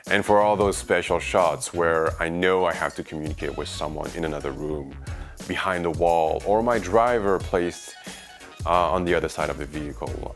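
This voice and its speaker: Male vlogger, low voice, presenting gear reviews over background music. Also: a bit bright. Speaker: video creator and reviewer. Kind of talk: product review vlog narration to viewers.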